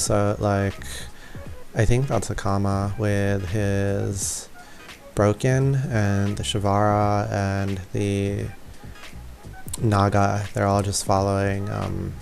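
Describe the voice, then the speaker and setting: Male gaming commentator: lowish, creaky voice, informal and hesitant, thinking aloud. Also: darkish tone. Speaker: gaming video creator. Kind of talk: casual gameplay walkthrough commentary. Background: faint game music.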